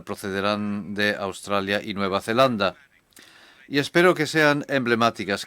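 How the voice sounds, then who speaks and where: A man giving a measured, reflective conference talk to a hall audience. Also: fairly low pitch, sharp tone, smooth. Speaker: conference speaker.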